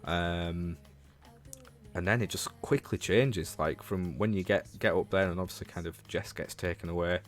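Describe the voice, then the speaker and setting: Low-pitched Northern English man, chatting casually. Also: normal speed. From Leeds, England. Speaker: livestreamer and show host. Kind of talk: casual livestream chat about games, replying to viewers.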